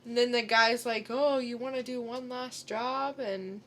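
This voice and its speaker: A woman's voice: on the high side, fairly even intonation, measured pace, a bit bright. A woman co-hosting a podcast, chatting casually as she reviews films. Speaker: podcast co-host. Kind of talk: casual film review chat.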